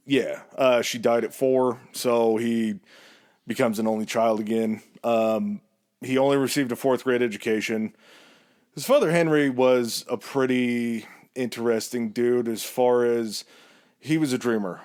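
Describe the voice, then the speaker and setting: A man's voice: medium pitch, a little mellow. Male podcast co-host, casual storyteller, rambling and good-humored. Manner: chatty, rambling, laughs easily.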